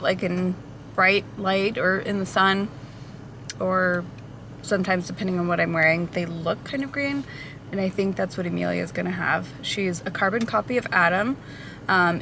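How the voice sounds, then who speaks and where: A woman's voice: mid-range voice, a bit bright, a little hiss or hum. A woman in her thirties, a hairstylist vlogging a casual Q&A, talking loosely with many ums and likes on a camera mic. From Portugal. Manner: chatty, many ums and likes, laughs lightly. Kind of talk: casual Q&A vlog. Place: out at a café or in a car.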